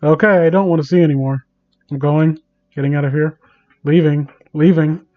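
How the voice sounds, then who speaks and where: A man doing a casual livestream reading and commentary, exasperated, sarcastic and sweary, with a halting delivery. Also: on the high side, fairly even intonation, phone-quality sound. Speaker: live streamer reading and mocking online fan fiction.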